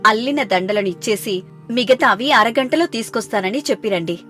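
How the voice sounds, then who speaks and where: A woman voicing a kind, reassuring young sister-in-law in an animated family story, with music behind. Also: on the high side, moderate pace. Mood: warm, curious.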